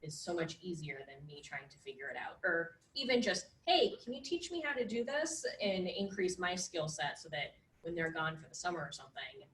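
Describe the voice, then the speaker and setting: A woman's voice: fairly low pitch, big pitch swings, brisk, a bit bright. A woman who works as a prevention coordinator, answering questions in a group discussion in a plain, conversational way. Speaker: grant-funded prevention coordinator. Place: meeting room. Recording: echoey room, far from mic, faint hum.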